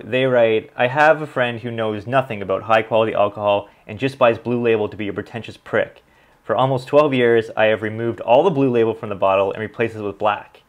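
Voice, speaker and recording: A man in his thirties with a Canadian accent, chatting casually as a channel host, relaxed and full of ums as he reads comments and tastes whiskey. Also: on the low side. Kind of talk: casual vlog, reading comments and tasting. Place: small home studio with bottles on a desk.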